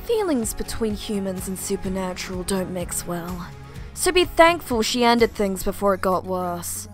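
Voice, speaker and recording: A woman's voice: mid-range voice, sing-song, measured pace, faint music behind. A woman voicing a sly, knowing witch in an amateur roleplay, teasing in tone.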